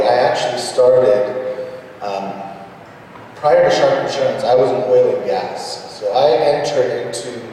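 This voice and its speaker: Mellow man presenting in an echoing hall. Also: medium pitch. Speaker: presenter, small business owner. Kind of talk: introductory business seminar talk. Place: large reverberant meeting hall. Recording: echoey hall, distant mic, noisy ambience.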